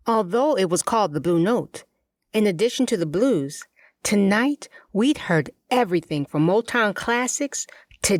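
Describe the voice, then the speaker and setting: A woman reading first-person fiction as an audiobook narrator, in an expressive, deliberate storytelling manner with measured phrasing. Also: lowish voice, no room sound, clean studio sound. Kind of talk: first-person scripted fiction narration of a cozy mystery, in character.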